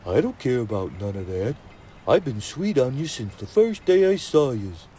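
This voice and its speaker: A man's voice: medium pitch, sing-song, normal speed, dark tone, slight background noise, close to an everyday voice. A man voicing a young male hot dog vendor who talks in tough street slang and is sweet on a girl. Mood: earnest.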